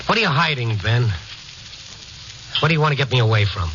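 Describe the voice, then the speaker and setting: An actor playing a steady, probing investigator who also narrates, in a terse 1950s radio noir style. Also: male voice, medium pitch, fairly quick, noisy background, close to an everyday voice. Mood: insistent. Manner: questioning, terse. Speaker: lead character and narrator. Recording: muffled 1950s radio recording.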